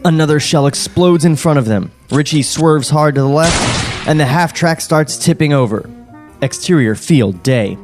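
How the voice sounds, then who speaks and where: A man's voice: on the high side, moderate pace, music in the background. A man hosting a podcast, reading the stage directions of a screenplay aloud in a dry, wry way. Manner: dry, wry, with chuckles and sarcastic asides. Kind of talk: script table read, narrating stage directions. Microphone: studio podcast mic.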